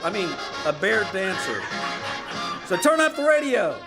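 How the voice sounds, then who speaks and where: A man's voice: sits high, very animated, measured pace, vocal fry, over music. A man announcing a scripted old-time radio show in a theatrical, showman-like manner. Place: small theater stage, live performance.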